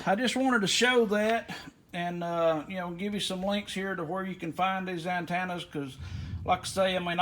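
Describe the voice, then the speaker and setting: Higher-pitched man, folksy. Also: slight background noise. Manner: folksy, rambling. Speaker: aviation vlogger. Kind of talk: casual hands-on walkthrough. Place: inside a small plane at an airport.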